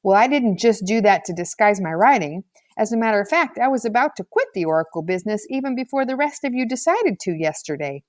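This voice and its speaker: A woman's voice: medium pitch, expressive, fairly quick, no room sound, close to an everyday voice. A brash schoolboy from a storybook, voiced by a woman, full of slang and bluster. He boasts and justifies himself at every turn. The sound is close and dry. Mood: boastful. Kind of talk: storybook character voice.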